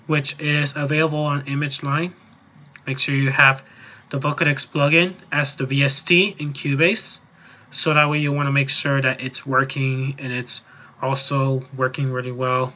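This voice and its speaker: A man hosting a casual software tutorial, explaining in a rambling, repetitive way. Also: a little high, lo-fi sound. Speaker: tutorial host.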